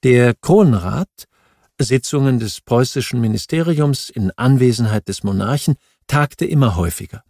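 A man reads a scripted nonfiction audiobook in a measured, factual manner. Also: mid-range voice, lively intonation, a little mellow, no echo, studio quality. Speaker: audiobook narrator.

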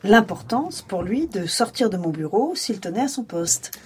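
A woman's voice: mid-range voice, lively intonation, moderate pace, slight background noise, lightly acted. A woman playing a stern, curt and authoritative police chief in an audio drama. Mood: cold anger. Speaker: actor in a fiction podcast.

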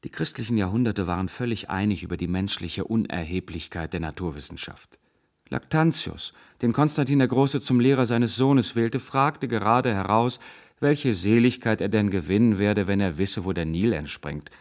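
An actor playing a severe, dogmatic intellectual zealot, a professor who lectures in cutting absolutes, in a radio drama. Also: male voice, on the low side, moderate pace, lightly acted. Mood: cold, lecturing. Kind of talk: German radio drama acting.

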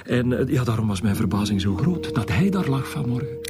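A man's voice: mid-range voice, lively intonation, brisk, loud music behind the voice, lightly acted. An actor playing an evasive, shaken Flemish school principal in a radio drama, hesitant and stammering as he weighs his words. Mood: nervous, defensive. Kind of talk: naturalistic radio drama acting.

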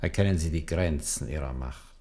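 An older man in a radio drama playing a bowed old papal legate, formal and deliberate, humble on the surface but coldly warning. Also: a bass voice, mellow. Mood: veiled, admonishing. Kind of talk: 1970s German radio drama acting.

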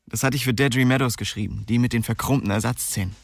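A man's voice: mid-range voice, fairly even intonation, quick pace, creaky, no echo, studio quality. An actor playing a cocky, restless young man who narrates his story in a slangy, streetwise radio drama style. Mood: cheeky, indignant. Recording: music behind the voice.

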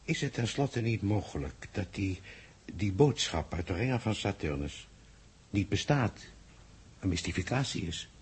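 A man's voice: on the low side, expressive, a little slow, breathy edge, full character voice. An actor playing a troubled male official in a 1960s Dutch radio drama, hesitant and pensive as he questions experts.

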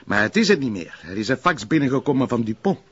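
A patient, principled Flemish police officer with a husky, mid-pitched voice. He talks in a measured, dryly ironic way. The recording is a little muffled. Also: sing-song, a bit bright, exaggerated voice. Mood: businesslike. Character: principled, patient male police officer, mildly reproachful colleague. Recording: muffled drama soundtrack.

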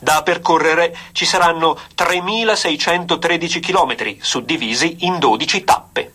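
An actor playing an excited old-time radio cycling commentator, declamatory and florid. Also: male voice, a little high, moderate pace, slightly rough. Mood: proud, excited. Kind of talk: vintage radio sports commentary.

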